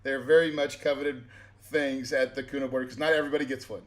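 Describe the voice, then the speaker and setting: A man's voice: mid-range voice, steady tone, fast. A man hosting a podcast interview, talking in a coaching, persuasive way, often checking in with "right?". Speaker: podcast host. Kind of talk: casual interview.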